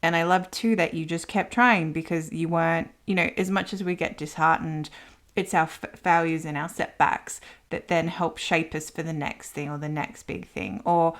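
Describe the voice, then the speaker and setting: A woman's voice: low-pitched, fairly even intonation, normal speed. A woman hosting a conversational interview podcast, talking in an affirming way. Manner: affirming, reflects back what the guest said. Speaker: podcast host.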